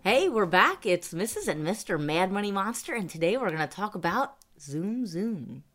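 A woman's voice: mid-range voice, big pitch swings, normal speed, a bit bright, faint music behind. A woman in her forties from Pennsylvania, co-hosting a casual money podcast, chatty, laughing often and poking fun at herself. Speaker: podcast co-host. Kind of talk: casual chat.

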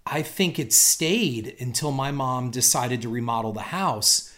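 A man's voice: mid-range voice, expressive, a little slow, fairly bright tone. A middle-aged man co-hosting a casual money podcast, easygoing and mildly skeptical in banter. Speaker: podcast co-host.

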